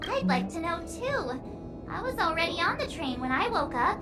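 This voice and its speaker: Bubbly, very high-voiced teenage girl. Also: normal speed, over music. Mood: chatty, reminiscing. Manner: chatty, eager, expressive. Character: bubbly, excitable, friendly teenage girl space traveller. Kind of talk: animated game cutscene dialogue.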